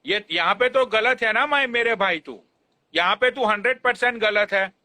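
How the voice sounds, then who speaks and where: Young male live-stream host, noticeably high and bright voice, informal, insistent, repeats himself to press a point. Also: normal speed, dry recording. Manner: emphatic, repetitive, informal pleading. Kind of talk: casual live chat, admonishing.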